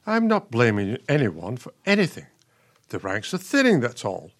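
A man's voice: medium pitch, wildly swooping pitch, moderate pace. A man playing a patient, resigned retired professor, an older husband in a radio play, speaking in a dry, understated way. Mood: weary, reproachful.

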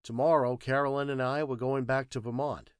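A man's voice: medium pitch, fairly even intonation, fairly quick, smooth, no room sound, clean studio sound, natural voice. A man voicing a troubled, bewildered fugitive making an earnest, dramatic confession in an audiobook story. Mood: distressed, confessing.

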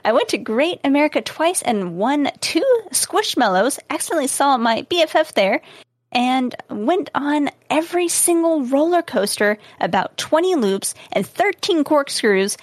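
A woman co-hosting a podcast in a casual chat, agreeable and quick to laugh. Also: sits high, expressive, no echo. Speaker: podcast co-host.

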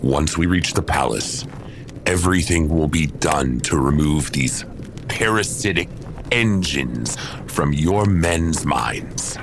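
Cavernous, gravelly warlord. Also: male voice, lively intonation, background noise, exaggerated voice. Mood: commanding. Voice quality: very deep, gravelly. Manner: slow, solemn, weighty oratory. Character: stern superhuman warlord brother, grave judge of atrocities. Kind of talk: audio drama acting.

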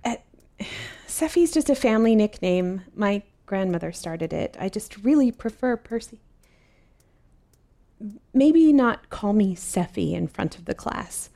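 An actress playing a modest young college student in an audio drama, hesitant, polite and apologetic. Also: female voice, medium pitch, expressive, measured pace, vocal fry, warm tone. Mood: politely uneasy. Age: young adult. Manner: hesitant, polite, self-effacing. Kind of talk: fiction podcast audio drama acting.

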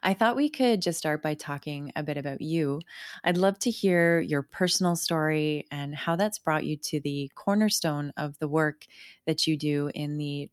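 Low-voiced woman podcast host, dry sound. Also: dry recording. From South Africa. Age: 30s. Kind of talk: scripted introduction then casual interview.

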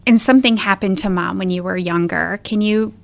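A woman's voice: medium pitch, fairly quick, vocal fry. A woman speaking as a podcast host, introducing and interviewing a guest in a conversational way.

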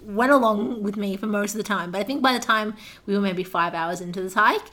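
A young adult woman speaks at a middle pitch in casual podcast conversation. She tells her story as a host would, chatty and loosely structured, with self-deprecating humour. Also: a little fast, a bit bright. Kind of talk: casual chat, telling a travel anecdote.

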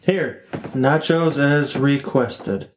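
A man's voice: mid-range voice, very slow, background noise. A man playing a stammering, nervous husband. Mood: flustered. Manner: hesitant, stammering, with many fillers. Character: nervous flustered husband answering the door.

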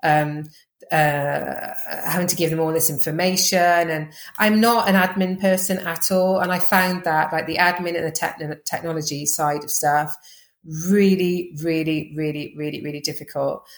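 A woman with a Welsh accent, chatting quickly as a podcast guest, full of fillers and restarts. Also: sits low, steady tone, echoey room. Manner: fast-talking, chatty, lots of fillers. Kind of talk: casual interview chat.

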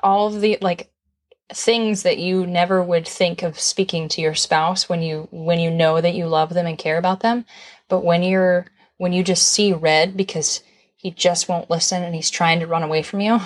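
A woman co-hosts a casual relationship talk, chatty and playful, full of fillers. Her voice sits a little low for a woman. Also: fairly even intonation, normal speed. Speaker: podcast co-host. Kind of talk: casual conversational advice.

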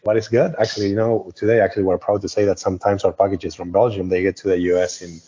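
Low husky man with an Argentine accent. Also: little pitch movement, fast, warm tone. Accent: Argentine Spanish. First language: Spanish. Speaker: company co-founder, interview guest. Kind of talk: conversational business interview. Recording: dull, muffled lo-fi audio.

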